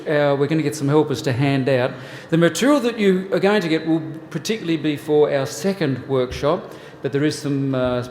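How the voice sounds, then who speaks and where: A man's voice: mid-range voice, long reverb tail, a little hiss or hum. Joking Australian man in his sixties. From New South Wales, Australia. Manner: relaxed, joking, many "uh" fillers. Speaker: family ministries pastor. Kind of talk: church workshop talk. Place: church hall.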